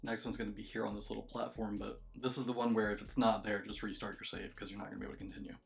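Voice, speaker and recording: Low, gravelly, even-toned man. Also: very fast, faint music behind. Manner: matter-of-fact, explanatory. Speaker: game guide creator. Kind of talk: casual video game walkthrough commentary.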